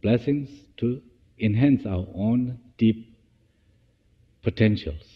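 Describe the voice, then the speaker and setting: A man with a fairly low, slightly husky voice guides a meditation. He speaks in short, halting phrases, feeling his way through each thought. The sound has a long hall-like reverb and is a little dull. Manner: halting, phrase-by-phrase delivery with restarts. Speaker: meditation teacher.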